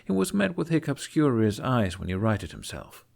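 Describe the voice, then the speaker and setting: A man reading a scripted fantasy story aloud in an expressive audiobook style. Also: mid-range voice, moderate pace, gravelly. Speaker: fan fiction narrator.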